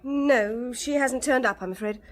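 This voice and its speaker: A woman's voice: a little high, a little slow, lightly acted. An actress playing a frank, indignant society woman with an English accent, candid and confiding, in a radio drama. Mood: disapproving, confiding.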